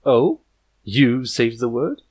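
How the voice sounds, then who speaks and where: A man's voice: mid-range voice, expressive, a little mellow, husky. A man voicing a guarded, distracted female pegasus author in a cartoon story, with an offhand manner. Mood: sceptical. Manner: offhand, halting when cornered.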